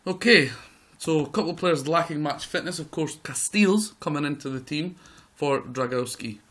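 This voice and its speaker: A man with a croaky voice giving excitable live play-by-play commentary over a football management game. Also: a little high, moderate pace. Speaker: gaming video host.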